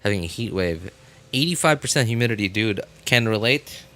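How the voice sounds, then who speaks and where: Bright-voiced man chatting casually on stream. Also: fairly low pitch, animated, brisk. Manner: informal, rambling, repeats phrases for emphasis. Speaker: live game streamer. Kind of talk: casual chat with stream viewers.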